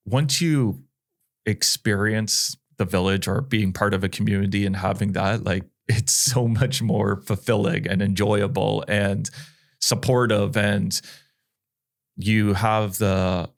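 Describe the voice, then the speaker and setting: A man with a very low voice hosts a relaxed interview. His questions wander and are padded with "like" and "um". He talks at an easy conversational pace. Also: slow. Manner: rambling, filler-heavy questions. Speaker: podcast host.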